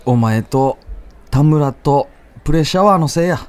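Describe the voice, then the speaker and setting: A man's voice: a little high, lively intonation, a little slow, darkish tone, clean tone, voice pushed down. A man playing an earnest schoolboy gossiping in an in a radio skit. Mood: reproachful. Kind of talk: amateur radio drama acting.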